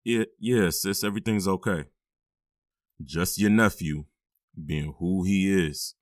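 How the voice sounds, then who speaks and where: A man acting out a deep-voiced middle-aged father in an audio drama, firm and weary, given to heavy sighs. His low voice sits close and dry with no room around it. He scolds and comforts in the same steady way. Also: slow, dry recording. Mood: confiding. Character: stern but loving middle-aged stepfather.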